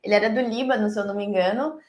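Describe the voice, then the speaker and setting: A woman's voice: medium pitch, fairly even intonation, rapid-fire, darkish tone, reverberant. A woman from Brazil with a strong Brazilian Portuguese accent, chatting as an interview guest and telling a story. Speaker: guest, investor.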